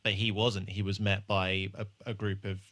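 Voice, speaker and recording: A man's voice: fairly low pitch, little pitch movement, moderate pace, a bit bright. A man hosting a podcast in casual conversation, feeling his way through sentences with plenty of stops. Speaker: podcast host. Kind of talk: casual chat on a TV re-watch podcast.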